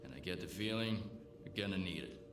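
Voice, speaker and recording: A man playing a world-weary, pragmatic bartender in a lawless zone, with gruff, slangy video game dialogue. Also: sits low, lively intonation, a little fast. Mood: cautious, matter-of-fact. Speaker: bartender character. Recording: roomy echo with noisy game ambience.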